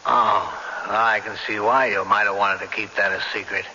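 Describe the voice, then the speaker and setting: An actor playing a gruff, skeptical police sergeant in a radio drama, blunt, slangy and sardonic. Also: male voice, on the low side, fairly even intonation, moderate pace, pushed and bright. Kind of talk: 1970s radio drama acting. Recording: dull, noisy old radio broadcast, reverberant.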